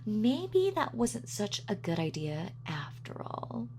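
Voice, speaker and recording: A woman's voice: medium pitch, sing-song, slow, noisy background. A woman playing a gloating, sadistic supervillain in an audio roleplay, with a mock-sweet, sardonic, taunting manner. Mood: cold menace. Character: gloating female supervillain, sadistic, darkly playful. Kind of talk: villain monologue in audio roleplay.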